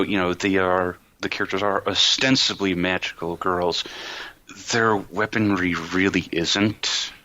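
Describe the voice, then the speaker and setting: A man's voice: fairly low pitch, sharp tone, lo-fi sound, a little hiss or hum. A man talking casually and emphatically as a podcast host, explaining things with colloquial enthusiasm. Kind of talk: casual anime discussion.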